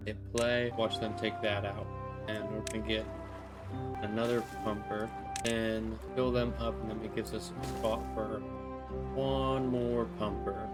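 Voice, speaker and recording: A man with a somewhat low voice hosts a let's play, chatting directly to his viewers. He talks himself through each move as he plays, thinking out loud. Music runs clearly underneath his voice. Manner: friendly direct address to viewers. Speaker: gaming channel host. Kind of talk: let's play gameplay commentary. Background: background music.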